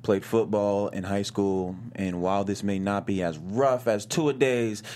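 An actor reading a brash, foul-mouthed young male gamer in a table read, combative and sarcastic, with a husky voice. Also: low-pitched, steady tone, unhurried, warm tone. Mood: boastful.

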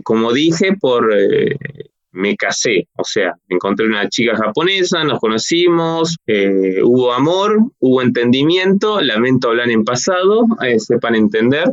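A man's voice: mid-range voice, no echo. Rambling Argentine man on a dull line. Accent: Argentine Spanish. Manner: rambling, many restarts and fillers. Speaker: guest. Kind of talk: casual interview.

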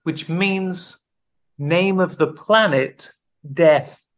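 A man's voice: high-pitched, drawn-out words. A man hosting a comedy podcast quiz, teasing his co-host in casual banter. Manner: playful, teasing quizmaster.

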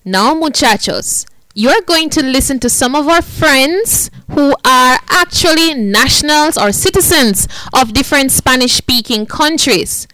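A woman running a bilingual classroom lesson, her voice on the high side and full of melody. She talks slowly and clearly, saying each point in Spanish and then again in English, and the sound is dry and close. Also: pushed and bright, no echo, poor audio. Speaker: teacher.

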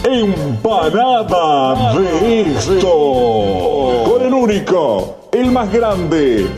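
A man announcing a show in a bombastic, showy, drawn-out style. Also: a little high, sing-song. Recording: music behind the announcement.

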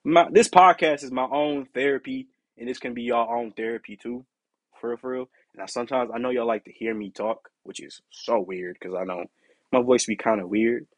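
A young man with a deep, raspy voice hosting his own podcast, talking in a candid, rambling way with lots of "you know" and "like". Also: brisk, no echo. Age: young adult. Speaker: podcast host. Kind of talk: casual solo podcast monologue.

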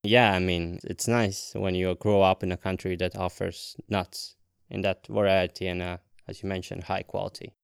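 Chatty man, smooth dry voice. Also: sits low, little pitch movement, moderate pace, dry recording. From Latvia. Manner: friendly, chatty, jokey asides. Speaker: interviewer. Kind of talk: casual interview chat.

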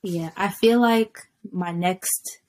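Low-voiced young woman, reflective. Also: darkish tone. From Kenya. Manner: reflective, laughs easily, drawn-out words. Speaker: guest singer. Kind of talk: casual interview chat.

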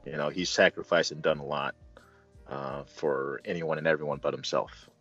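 Deep-voiced man chatting casually about movies. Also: fairly even intonation, moderate pace, a bit bright, breathy edge, lo-fi sound, faint music behind. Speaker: movie review host. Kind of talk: casual conversational movie review.

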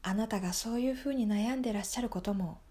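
A woman playing a calm, all-knowing fortune-teller in an improvised radio drama, speaking in a hushed, measured, polite and reassuring way. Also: mid-range voice, pitched below the performer's own voice, lightly acted. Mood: soothing.

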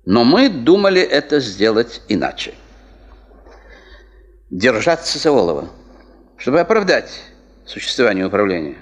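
An actor in a Soviet radio drama playing a gravely ill veteran geologist, a wry, philosophical man who talks in a measured, resigned way. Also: mid-range voice, sing-song, close to an everyday voice. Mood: wry, reasoning. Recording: muffled, long reverberant radio-play sound.

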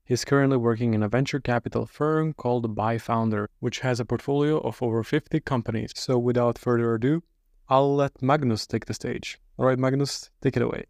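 A man's voice: mid-range voice, steady tone, a little fast, a little mellow, dry recording, clean studio sound. A man hosting an interview podcast, reading an intro and asking questions. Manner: many short backchannel murmurs. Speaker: podcast host.

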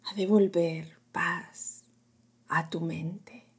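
A woman with a very breathy, lowish voice guides a sleep meditation. She speaks softly and soothingly, often slipping into a whisper. Her pace is extremely slow, with long pauses between short phrases. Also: fairly even intonation.

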